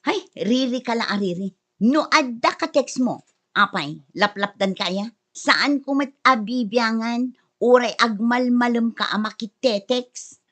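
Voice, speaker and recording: A young wife in an Ilocano radio drama, acted by a woman, speaking in a fairly high voice. She is whiny and scolding, pressing her demands insistently at her husband. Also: a little slow, fairly bright tone. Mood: defensive, irritated.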